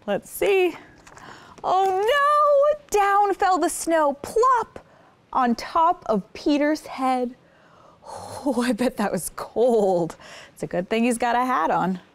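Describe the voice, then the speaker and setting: A woman's voice: high-pitched, expressive, slow. A woman hosting story time, reading a picture book aloud expressively and putting simple questions to young listeners. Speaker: children's story time host.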